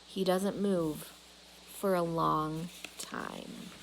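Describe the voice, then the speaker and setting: A woman's voice: on the low side, fairly even intonation, drawn-out words, a little hiss or hum, poor audio. A woman reading a children's novel aloud as a storyteller.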